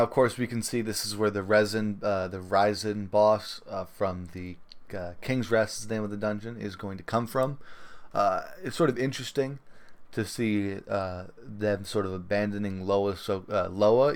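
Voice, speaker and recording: Low-voiced man hosting a casual podcast. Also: fairly even intonation, moderate pace. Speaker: podcast host. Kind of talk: casual game commentary.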